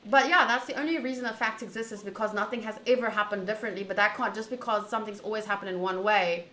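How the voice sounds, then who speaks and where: Female game streamer, bright voice, opinionated casual rambling, recorded in an echoey room. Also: mid-range voice, fast talker. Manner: animated, opinionated, wandering, often rephrasing mid-thought. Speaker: streamer playing a visual novel. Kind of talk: casual rambling chat with viewers during a game playthrough. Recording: echoey room, faint background noise.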